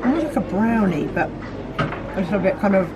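A woman's voice: medium pitch, lively intonation, normal speed. A middle-aged woman chatting casually about food, recorded by a camera across the table. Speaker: dining guest. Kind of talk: casual chat. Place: busy buffet restaurant. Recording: loud crowded restaurant noise.

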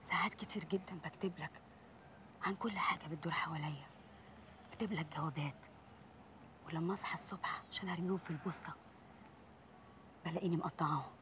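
A woman's voice: low voice, fast, long pauses, background noise, lightly acted. An actress playing a young woman in love who speaks tenderly to her sweetheart, with an Egyptian accent. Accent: Egyptian Arabic. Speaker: Nora.

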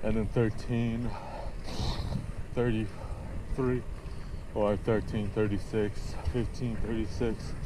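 Guy talking slow on a bike ride, loses his words, loud street noise behind. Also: lowish voice, flat delivery. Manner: rambling, searches for words, slow and halting. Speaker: cycling vlogger. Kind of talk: casual narration while riding. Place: outdoors on city streets, riding a bike. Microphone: action camera mounted on the bike.

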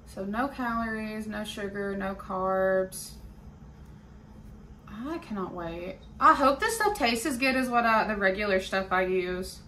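A young adult woman chatting casually to her phone camera in a home kitchen, sounding tired, unhurried and rambling. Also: medium pitch, animated, sharp tone, slight background noise. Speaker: vlogger. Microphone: phone front camera at arm's length.

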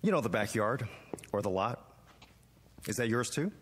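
A man's voice: medium pitch, expressive, normal speed, husky, in a large hall. An actor playing a polite, hesitant young male student who mows lawns, in a TV drama. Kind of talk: 1980s TV drama acting.

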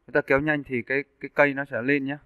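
A man giving a casual, step-by-step software tutorial as an animation instructor. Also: medium pitch, level tone, rapid-fire, smooth, no room sound. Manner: informal step-by-step explaining. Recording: muffled narrowband phone-like audio.

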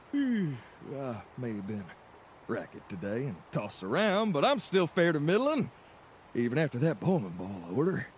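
Man voicing a cartoon mailman, clumsy, folksy drawl, stammering, lively pitch, faint hiss. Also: measured pace. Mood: chatty. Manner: flustered, stammers under pressure. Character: clumsy cheerful adult male mailman, folksy and fretful. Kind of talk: cartoon voice acting.

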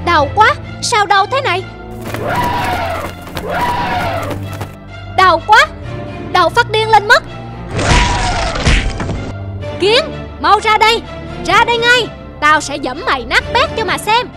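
A woman's voice: extremely high pitch, lively intonation, normal speed, over music. A woman voicing an arrogant, bullying huge elephant in a children's cartoon. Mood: pained, agitated.

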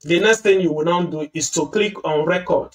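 A man walks through a software tutorial one click at a time, in a calm and patient manner. His voice sits a little high for a man. He sounds some distance from the computer mic. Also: normal speed. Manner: step-by-step, patient explaining. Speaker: tutorial host. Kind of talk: screen-recorded software tutorial.

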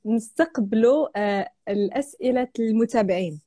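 A woman's voice: a little high, fairly quick, mellow. A young woman with a Moroccan Arabic accent hosting a live video chat at home, chatting casually and laughing often as she tells stories. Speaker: host of a live stream. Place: indoor room at home. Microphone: video call, laptop or phone camera.

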